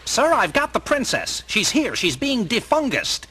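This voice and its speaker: Dopey eager henchman in a radio play, high piercing voice, wildly up and down. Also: huge pitch swings, measured pace, sharp tone, slightly rough, heavily acted. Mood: flustered. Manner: eager, befuddled. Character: dim-witted, bumbling villain's henchman. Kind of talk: audio drama acting.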